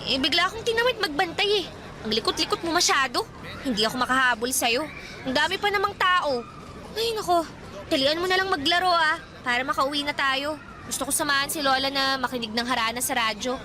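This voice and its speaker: A woman's voice: high voice, animated, fairly quick. A woman playing a shy, old-fashioned fifteen-year-old provincial girl in a radio drama, grumbling and guarded. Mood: grumbling, chiding. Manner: grumbling, muttering to herself. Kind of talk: Tagalog radio drama acting. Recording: background music, busy outdoor crowd and traffic sounds.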